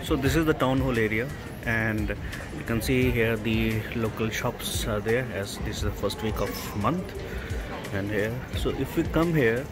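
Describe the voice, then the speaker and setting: A man giving casual, halting walking tour commentary as a travel vlogger, in simple phrases. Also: fairly low pitch, loud music behind the voice. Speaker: travel vlogger guiding a town walk. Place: outdoors on town centre streets.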